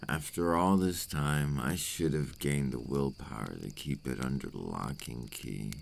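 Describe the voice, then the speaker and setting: A man performs a brooding vampire in an intimate audio roleplay. His voice sits extremely low, with a heavy gravelly creak. He speaks slowly and formally, like a cursed creature full of regret, over faint background noise. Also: exaggerated voice. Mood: remorseful. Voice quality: very deep, gravelly. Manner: slow, deliberate, formal phrasing. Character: remorseful adult male vampire, brooding and tormented by his curse. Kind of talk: ASMR roleplay acting, intimate monologue.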